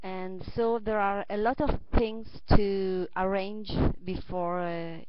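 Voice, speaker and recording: Italian lady in an english class, native italian speaker, talks real slow and careful, muffled lo-fi audio. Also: medium pitch, flat delivery, dry recording. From Italy. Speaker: English student, accountant. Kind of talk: careful answers in a language class. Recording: dull, lo-fi online call audio.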